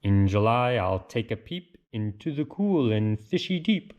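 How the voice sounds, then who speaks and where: A man reads rhyming picture-book verse aloud at an unhurried pace. His mid-pitched voice swings widely and theatrically, with a sing-song lilt made for children. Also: sing-song, darkish tone, lo-fi sound, slight background noise. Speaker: read-aloud storyteller.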